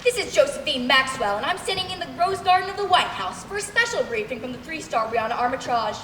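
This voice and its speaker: Young actress as earnest female news correspondent, comic radio play; very high, deliberate, dramatic, distant mic in a hall. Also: lively intonation, fairly bright tone. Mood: earnest, improvising. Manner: dramatic, over-deliberate announcing. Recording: echoey hall, distant mic, faint noise.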